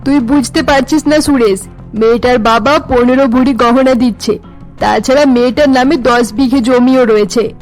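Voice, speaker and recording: Lady doing a naggy middle-aged cartoon mom, high voice, sarcastic and bossy, faint music behind. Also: fairly even intonation, normal speed, darkish tone, natural voice, poor audio. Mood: persuading. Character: scolding middle-aged mother of a grown son.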